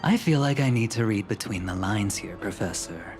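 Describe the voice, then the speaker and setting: A man's voice: on the low side, lively intonation, normal speed, music in the background. A man voicing a suave, teasing, carefree gambler in a video game, playful and theatrical. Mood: playful bravado. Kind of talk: dramatic video game voice acting.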